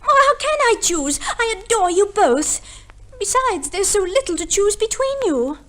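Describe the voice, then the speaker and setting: An actress playing a spoilt, fickle, coolly practical society wife in a 1950s radio comedy, with an airy, affected, theatrical manner. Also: female voice, very high voice, big pitch swings, normal speed, fairly bright tone, heavily acted. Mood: airily torn.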